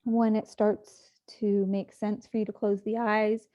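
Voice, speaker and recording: A woman leading a guided sleep meditation, speaking slowly and soothingly with long pauses. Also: medium pitch, level tone, warm tone, muffled recording.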